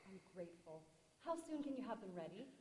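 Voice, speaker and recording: A woman's voice: mid-range voice, big pitch swings, a little fast, mellow, natural voice. Polite earnest widow read by a woman. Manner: polite, pleading. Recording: echoey room, long reverb, faint hiss.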